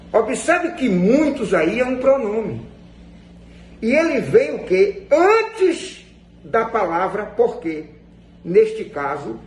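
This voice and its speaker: A man's voice: high voice, a little mellow. A Brazilian man giving an instructional grammar lesson in a didactic, emphatic way, repeating phrases for stress. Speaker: Portuguese grammar teacher. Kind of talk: instructional lesson explained to viewers. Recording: echoey room with faint background noise.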